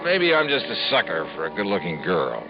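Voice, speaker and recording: A man's voice: deep voice, wildly swooping pitch, a little fast, lo-fi sound, over loud music, full character voice. A man playing a laconic, world-weary insurance investigator in a 1950s radio drama. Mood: wry. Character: hard-boiled male insurance investigator. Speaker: lead actor.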